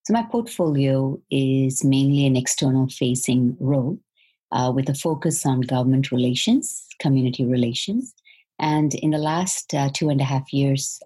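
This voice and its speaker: A woman in her fifties from Malaysia, answering interview questions in a measured, reflective way. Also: rumbling low, dark tone, husky. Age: 50s. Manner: measured, thoughtful storytelling. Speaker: podcast guest.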